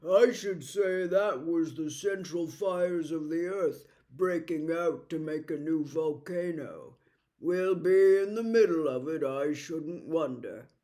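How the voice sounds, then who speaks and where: Lady doing a gloomy old-fashioned marsh guy for an audiobook, low and dour, always expecting the worst. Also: takes it slowly, warm tone. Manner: dour, plodding, predicts the worst. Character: gloomy pessimistic male marsh creature. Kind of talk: audiobook character voice.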